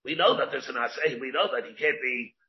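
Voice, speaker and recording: A man teaching a Talmud class as a rabbi, talking in halting restarts and asking questions aloud. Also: medium pitch. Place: classroom with students. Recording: muffled lo-fi classroom recording.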